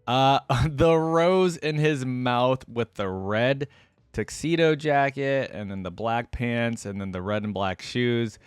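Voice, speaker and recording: A man giving casual reaction commentary as a video host, informal and thinking out loud as he goes. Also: mid-range voice, takes it slowly, clear, resonant tone, no room sound, clean studio sound.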